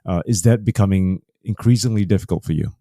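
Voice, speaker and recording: A man's voice: sits low, fast talker, mellow, dry recording, clean studio sound. A man interviewing a guest on a podcast in a conversational style.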